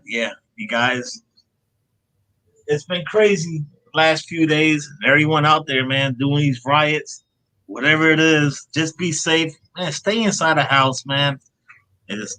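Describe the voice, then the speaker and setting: A man's voice: mid-range voice, sharp tone, rough recording. Laid-back man hosting a comic stream. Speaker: host of a comic book live stream. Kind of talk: casual live stream panel chat.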